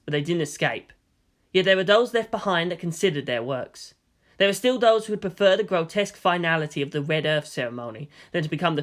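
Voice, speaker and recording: A narrator reading a dramatic scripted story in a solemn, portentous manner with deliberate phrasing. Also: mid-range voice, fairly bright tone. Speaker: fan fiction narrator.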